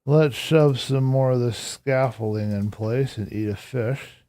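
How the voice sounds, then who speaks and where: Hoarse, mellow-voiced man streaming. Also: mid-range voice, a little slow. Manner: relaxed, reflective, answering chat aloud. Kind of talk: casual live-stream chat while gaming.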